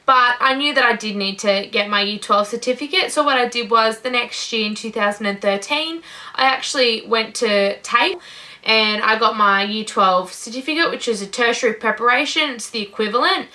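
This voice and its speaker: Casting: nineteen-year-old Aussie woman, bright and sharp-toned, pitched a little high, chatty and enthusiastic. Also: normal speed. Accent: Australian. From Australia. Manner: upbeat, gushing, says "incredible" and "you know" often. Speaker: vlogger. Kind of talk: casual talk to camera.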